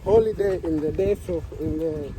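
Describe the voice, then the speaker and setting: High-voiced Tanzanian man, eager and halting. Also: noisy background. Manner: eager, repeats words, halting. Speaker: local guest explaining history. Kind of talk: casual chat.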